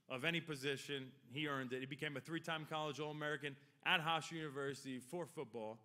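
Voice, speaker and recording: A man's voice: on the high side, pushed and bright. A man of about forty, giving a rambling, joking and heartfelt acceptance speech at a podium microphone in a ceremony hall. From Long Island, New York. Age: 40s. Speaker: hall of fame inductee.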